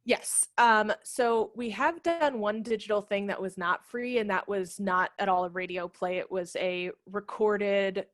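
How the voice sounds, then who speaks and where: A woman's voice: mid-range voice, steady tone, a little slow, few pauses, no echo, studio quality. A woman in her thirties explaining things casually as an interview guest on a video call, speaking into a headset mic. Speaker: guest, theatre company managing director. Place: living room at home, video call. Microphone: headset microphone.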